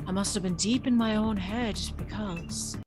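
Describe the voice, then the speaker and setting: A woman's voice: mid-range voice, normal speed, a little mellow, faint music behind, close to an everyday voice. An actress playing a timid, curious, polite young servant girl in an audio drama, telling her story in a hesitant, wondering way. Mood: reflective, wondering. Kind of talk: audio drama acting with first-person storytelling.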